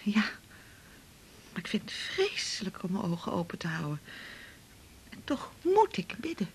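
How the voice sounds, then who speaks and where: A woman's voice: medium pitch, big pitch swings, moderate pace, slight background noise. An actress playing a timid, devout married woman at the doctor's in a radio drama, anxious, hesitant and half-whispering. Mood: distressed. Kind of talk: naturalistic 1980s radio drama acting.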